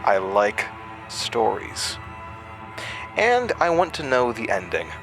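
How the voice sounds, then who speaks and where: A taunting, animated sinister entity. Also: male voice, lowish voice, slow, gravelly, over music, exaggerated voice. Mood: menacing. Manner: mocking, sardonic, theatrical, toying menace. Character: taunting malevolent male entity borrowing a young man's voice. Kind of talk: horror audio drama acting.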